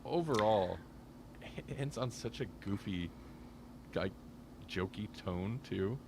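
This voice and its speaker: A man's voice: fairly low pitch, expressive, slow pace, creaky, darkish tone, a little hiss or hum. A man co-hosting a podcast, talking through a casual review in a hedging, think-aloud way. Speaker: podcast co-host.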